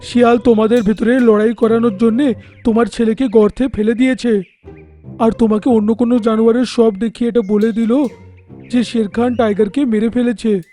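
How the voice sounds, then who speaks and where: A man's voice: very high-pitched, steady tone, normal speed, dark tone, soft background music. A man voicing earnest, declamatory talking jungle animals in a cartoon moral fable. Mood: urgent, earnest. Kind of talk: cartoon dialogue acting.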